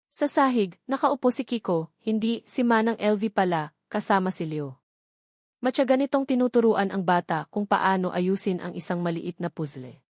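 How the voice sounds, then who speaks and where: A woman reading a scripted love story as narrator in a dramatic, measured manner. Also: mid-range voice, darkish tone, no room sound, studio quality.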